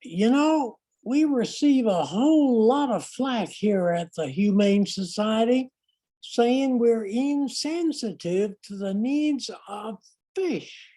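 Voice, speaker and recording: A woman playing an earnest small-town humane society spokesperson in a comic stage monologue, speaking deliberately with emphatic pauses. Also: a little high, lively intonation, warm tone. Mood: earnest, solemn.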